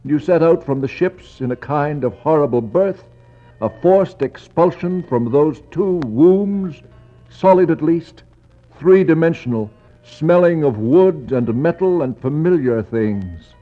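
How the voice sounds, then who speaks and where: A man acting a brooding explorer in a 1960s radio play, speaking a poetic monologue in a measured, incantatory way with grim sympathy and weighty pauses. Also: a little high, expressive, faint music behind. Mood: awed, intense. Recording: dull 1960s radio recording.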